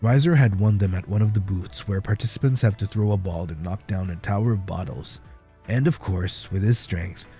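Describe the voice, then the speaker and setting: A man livestreaming in a playful, casual way, chatting and reading stories aloud with a husky voice. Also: sits low, moderate pace, dark tone. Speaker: livestreamer, virtual streamer. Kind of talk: casual livestream chat and fan fiction reading. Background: background music.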